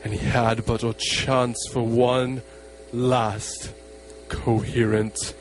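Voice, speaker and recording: A man delivers dramatic horror narration for a radio play. His pace is very measured and his manner is solemn and portentous, at an ordinary middle pitch, with faint noise behind the recording. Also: level tone, poor audio.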